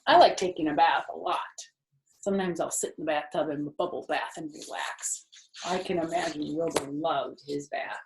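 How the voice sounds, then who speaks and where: Female children's storyteller: lower register, expressive and deliberate, recorded in a roomy, echoing space. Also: a little hiss or hum.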